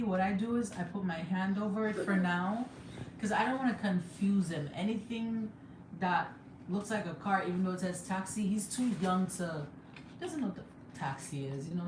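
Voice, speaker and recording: A young woman with a Jamaican accent chatting casually on a home livestream, laughing often and sometimes breaking into excited high exclamations. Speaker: livestreamer and mother. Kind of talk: casual live chat. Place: home room. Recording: echoey room with background noise.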